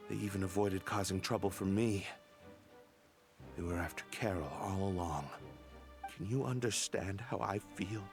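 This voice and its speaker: An actor playing a stern, grieving guard captain bent on vengeance, speaking gravely and deliberately in a dramatic video game. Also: male voice, deep voice. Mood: bitter, vengeful. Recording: background music under the voice.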